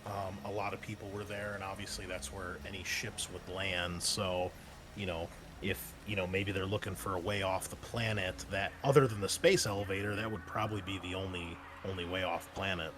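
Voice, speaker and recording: A man narrating and running a tabletop game as game master, in a relaxed, loose way with plenty of fillers. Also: sits low, a little fast, background noise.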